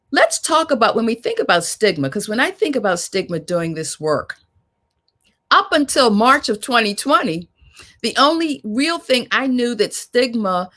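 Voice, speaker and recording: A woman moderating a panel discussion in a measured, reflective way. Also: mid-range voice, a bit bright.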